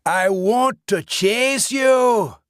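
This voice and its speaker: A man's voice: very high voice, very slow, clean tone, no room sound, clean studio sound, pitched above the performer's own voice, full character voice. A man voicing the cruel ghost of an old-time policeman who taunts and beats his victim. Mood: taunting. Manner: taunting, relishing violence.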